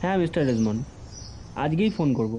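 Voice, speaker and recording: Man as a harried young male tenant, polite, high mellow voice, explanatory, audio drama, faint ambient noise. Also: animated, fast talker, dull audio, lightly acted. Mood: tired, polite.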